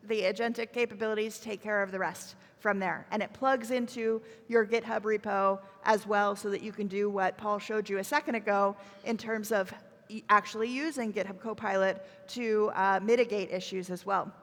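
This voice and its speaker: A woman's voice: mid-range voice, fairly even intonation, normal speed, fairly bright tone. A woman presenting a tech keynote on a conference stage, explaining things in a conversational way. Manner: conversational, explanatory, checking in with "right?". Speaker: keynote speaker, software executive. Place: large conference hall stage. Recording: echoey conference hall with long reverb.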